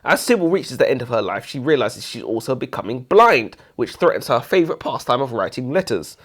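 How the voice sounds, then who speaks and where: A man's voice: mid-range voice, sing-song, fairly quick. A British man co-hosting a book review, talking casually and argumentatively with informal, opinionated phrasing. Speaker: book review podcast co-host. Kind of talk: casual book discussion and debate.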